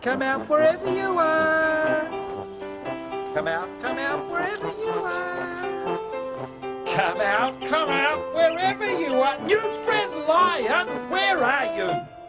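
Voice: high voice, sing-song, a little slow, full character voice. A performer voicing an eager, naive young lion in a preschool cartoon, exclaiming and stammering with playful friendliness over music. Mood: excited, calling out. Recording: loud music behind the voice.

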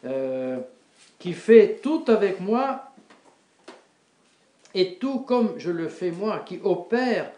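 Slow, halting priest in an echoey chapel. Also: male voice, sits high, sing-song, mellow. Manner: halting, with many repeated words and fillers. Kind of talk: sermon. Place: small wood-panelled chapel before an altar.

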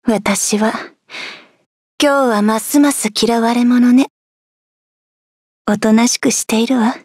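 Anime game nightmare fairy: reserved, cool, slightly high, very dry sound. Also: female voice, fairly even intonation, moderate pace, a little mellow, slightly rough, no room sound. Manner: composed, aloof, reserved. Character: cool, aloof young nightmare fairy woman. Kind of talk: Japanese anime-style game voice acting.

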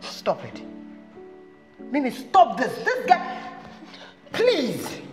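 A woman playing a fiery, high-voiced Nigerian friend. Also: huge pitch swings, drawn-out words, soft background music, heavily acted. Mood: comforting. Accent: Nigerian Pidgin. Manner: scolding, switches to loud Pidgin. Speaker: protective friend. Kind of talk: skit drama acting.

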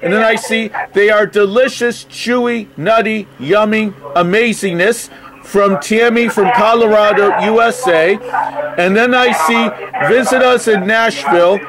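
A man on a phone call speaking in a halting, deliberate, literal way as he spells and reads aloud. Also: high voice, noisy background. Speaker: phone call recipient stringing a caller along. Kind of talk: slow phone conversation, spelling and reading aloud.

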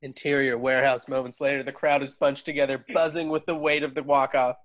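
A man's voice: mid-range voice, little pitch movement, brisk, a bit bright. A man narrating stage directions from a script in an amateur table read over a video call.

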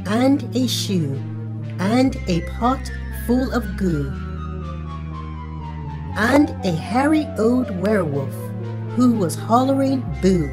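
A friendly furry puppet storyteller played by a woman reads a picture book to little children. The delivery is very slow and cheerful, with lively swoops of pitch and careful, singsong words. Music plays underneath. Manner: cheerful, deliberate, singsong enunciation. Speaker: children's read-aloud host. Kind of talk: slow storybook read-aloud for young children.

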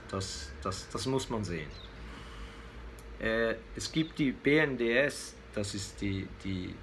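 A man's voice: lowish voice, a bit bright. A man in his fifties giving unscripted political commentary to camera, hesitant and searching for words. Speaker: vlogger giving a weekly news commentary. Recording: clear background noise, room ambience.

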